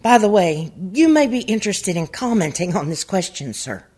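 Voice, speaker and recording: Woman playing an earnest agent, slightly husky. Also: mid-range voice, sing-song, normal speed, darkish tone. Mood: earnest, polite. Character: earnest, deferential young female FBI agent. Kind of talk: radio comedy acting.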